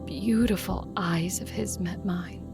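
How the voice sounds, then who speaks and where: A woman's voice: fairly low pitch, steady tone, slow, gravelly. A woman playing a guarded, determined leader in love, acting and narrating an audio drama with intimate restraint. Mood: tender, wistful. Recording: music bed under the voice.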